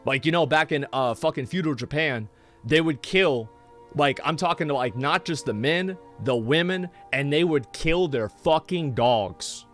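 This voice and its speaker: A man's voice: medium pitch, a bit bright. A man streaming a game live, rambling in casual, profane chat with many restarts.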